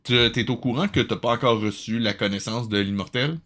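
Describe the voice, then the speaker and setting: A man's voice: medium pitch, fairly quick, music in the background. A man with a Québécois accent doing sarcastic, exasperated comedic commentary as a review host.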